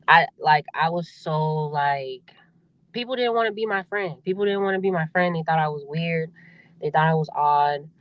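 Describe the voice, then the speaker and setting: A young adult woman with a deep voice, a singer talking casually as a podcast guest, laughing often and repeating herself as she speaks. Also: no room sound, muffled recording. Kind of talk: casual interview chat.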